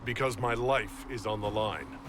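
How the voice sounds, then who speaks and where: Actor as a low-voiced reluctant hero. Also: male voice, normal speed, over music, close to an everyday voice. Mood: tense, urgent. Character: guarded male prisoner turned reluctant hero. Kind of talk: video game cutscene acting.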